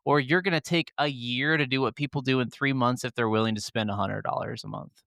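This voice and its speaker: A man's voice: medium pitch, fairly even intonation, fairly quick, creaky, fairly bright tone, no room sound, studio quality. A man co-hosting a gaming podcast, giving his opinions in casual conversation. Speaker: podcast co-host.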